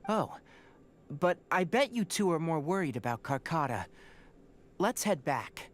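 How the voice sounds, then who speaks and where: An actor voicing a calm, analytical young male forest ranger in a video game, measured and explanatory. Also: medium pitch.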